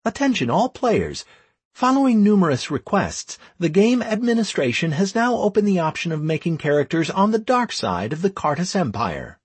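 A man voicing a formal, declamatory game system announcer in a fantasy audiobook, making brisk proclamations. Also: sits high, very animated, breathy edge, dry recording, pitched above the performer's own voice, full character voice. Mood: official, proclaiming.

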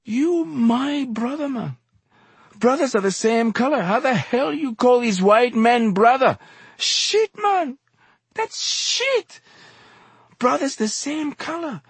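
A furious, anguished street orator in an audiobook. His voice leaps high and loud as he declaims and pleads, hammering repeated phrases in dialect. The sound is clean, close and dry. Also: animated, slow pace, slightly rough, no room sound, exaggerated voice. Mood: tearful pleading. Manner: impassioned, declamatory, emphatic repetition in dialect. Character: heavyset, anguished, furious male street exhorter, passionately pleading. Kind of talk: audiobook character dialogue.

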